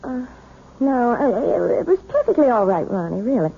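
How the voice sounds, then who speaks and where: Troubled wife, high husky voice. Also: female voice, sing-song, normal speed, dark tone, dull audio, heavily acted. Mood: distracted. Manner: hesitant, evasive. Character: troubled, guarded, weary wife and piano teacher. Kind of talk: radio drama acting. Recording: thin, band-limited old radio recording.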